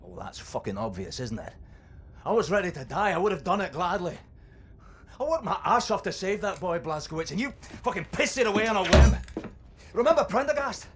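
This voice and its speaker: An actor playing an older male fighter, bitter and foul-mouthed, swinging from muttering to shouting. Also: high-pitched, sing-song, a little fast, a little hiss or hum. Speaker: resistance fighter. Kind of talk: video game cutscene acting.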